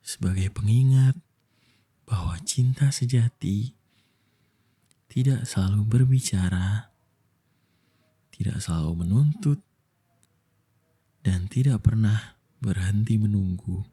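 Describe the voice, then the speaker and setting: A young man gives a gentle, soothing ASMR bedtime delivery in a soft, breathy voice. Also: on the low side. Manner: slow, gentle, soothing delivery with long pauses. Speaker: ASMR voice actor telling a bedtime story as a boyfriend.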